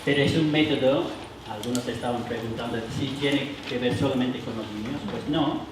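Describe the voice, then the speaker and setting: A man with a foreign accent, not a native speaker, leading a church workshop for adults, halting with many fillers and restarts. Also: medium pitch, steady tone. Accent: foreign accent in Spanish. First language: not Spanish. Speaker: workshop leader. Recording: roomy hall, mic from far, noisy.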